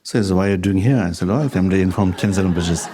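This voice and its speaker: Low, mellow-voiced man teaching with an accent. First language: Tibetan. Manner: unhurried, conversational, chuckles while telling anecdotes. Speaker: Buddhist teacher. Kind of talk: dharma talk with question and answer.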